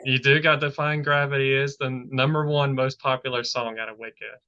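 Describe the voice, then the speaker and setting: A man hosts a relaxed podcast chat, his voice bright and forward. He rambles loosely and leans on filler words as he talks. The audio sounds dull and lo-fi. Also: medium pitch, normal speed. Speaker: podcast host. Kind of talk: casual chat.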